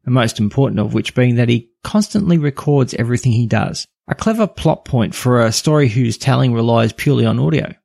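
This is a man hosting a podcast review show in a relaxed, scripted read. His voice has a gravelly, husky edge, and the recording is close and dry. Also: mid-range voice, no echo. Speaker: podcast host.

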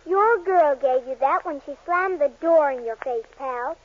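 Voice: high voice, unhurried. An actor voicing a brash, wisecracking Cupid in a 1940s radio commercial, slangy and brusque. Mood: flip, brusque. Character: brash, wisecracking, dismissive Cupid in a toothpaste skit. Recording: muffled 1940s radio recording.

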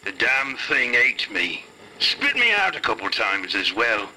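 A man's voice: mid-range voice, huge pitch swings, a little slow, bright, forceful tone, a little hiss or hum, full character voice, low-quality recording. A man voicing a grumbling, indignant ghost speaking through a radio-filtered spirit box.

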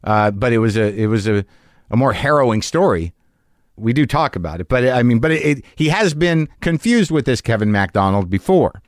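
A man's voice: mid-range voice, animated, fairly quick, no echo. A man in his fifties hosting a podcast, talking casually in a rambling way with many halts and restarts, recorded close on a studio mic. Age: 50s. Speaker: podcast host. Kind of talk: casual podcast chat and monologue.

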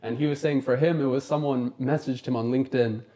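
A man from East Africa speaks as an interviewer in a casual chat. He talks fast and with enthusiasm. He chimes in readily as he goes. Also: medium pitch, fairly even intonation, warm tone. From East Africa, Kenya and Uganda. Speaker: interviewer, host.

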